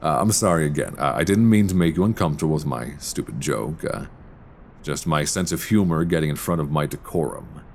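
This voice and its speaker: Roleplay audio: male actor as teasing, charming professor, heavy gravelly fry, hesitant delivery, faint background noise. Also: animated, brisk, exaggerated voice. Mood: friendly, reassuring. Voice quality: deep, gravelly. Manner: soft teasing, hesitant apologetic stammers. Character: charming, teasing male English professor, mild-mannered but flirtatious. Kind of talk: intimate roleplay audio drama. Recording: binaural recording with faint background ambience.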